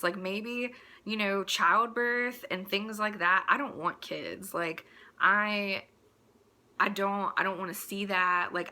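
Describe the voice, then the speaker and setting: Blunt, bright-voiced woman on a rant. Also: mid-range voice. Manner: blunt, crude, exasperated, with mocking asides. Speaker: host delivering a personal rant. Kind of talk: casual opinionated rant.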